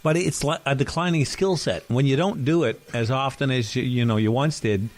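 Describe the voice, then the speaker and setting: A middle-aged man co-hosting a chat podcast, voice sitting at an ordinary middle pitch. He rambles through his stories, correcting himself as he goes, and now and then he coughs. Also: moderate pace. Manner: rambling, self-correcting storyteller. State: occasional cough. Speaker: podcast co-host. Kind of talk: casual chat.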